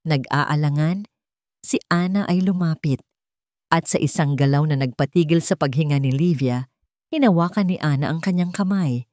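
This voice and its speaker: Female storyteller, slightly low voice, dry close recording, scripted sentimental romance narration. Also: dark tone, no room sound.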